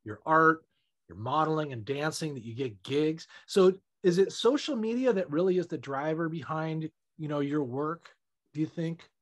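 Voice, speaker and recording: A man's voice: on the high side, animated, moderate pace, a bit bright. A man hosting an interview, upbeat and encouraging, who often says "you know what I mean". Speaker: interview host. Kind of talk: casual interview.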